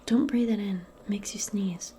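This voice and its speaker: A woman speaking softly and breathily, often in a whisper, in a gentle, hesitant ASMR roleplay as a modern witch. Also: medium pitch, steady tone, a little hiss or hum. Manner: gentle, unhurried, hesitant and improvised. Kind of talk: soft-spoken, whispered ASMR personal attention roleplay.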